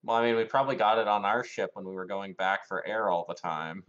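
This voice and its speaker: A man's voice: on the low side, fast, fairly bright tone. A man chatting casually as a tabletop game player, heard through a muffled voice-chat microphone.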